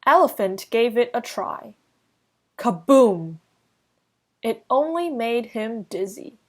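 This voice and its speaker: Woman reading kids picture book, super slow and deliberate, highish voice. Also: lively intonation. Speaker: storybook reader. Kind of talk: read-aloud children's story narration.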